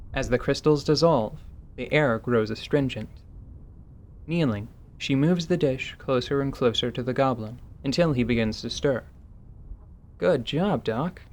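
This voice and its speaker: A man's voice: mid-range voice, a little hiss or hum. A man reading scripted narration for a tabletop fantasy audio drama.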